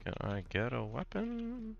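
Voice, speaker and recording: A man hosting casual gameplay commentary, raspy and hoarse from a cold, laid-back with startled outbursts. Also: on the high side, big pitch swings, a little slow, highs cut off, noisy background. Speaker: gaming channel host.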